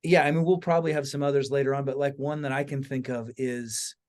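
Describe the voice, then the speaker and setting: A man's voice: medium pitch, fairly even intonation, fast talker, a little mellow. A man hosting a podcast in a casual, conversational style, informal and enthusiastic. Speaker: podcast host.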